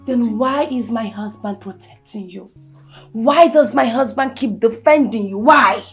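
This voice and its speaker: An actress playing a jealous, bullying wife who scolds, insults and threatens with repeated angry questions. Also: female voice, on the high side, unhurried, faint music behind. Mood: scolding. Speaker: actress in a domestic skit. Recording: echoey room.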